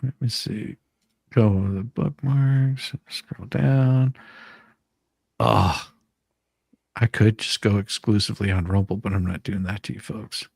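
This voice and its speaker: A man's voice: fairly low pitch, fairly even intonation, unhurried, vocal fry, long pauses, darkish tone, slightly rough, no room sound. A man hosting a livestream with casual chat and commentary, repeating phrases and trailing off mid-sentence as he rambles. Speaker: livestream host.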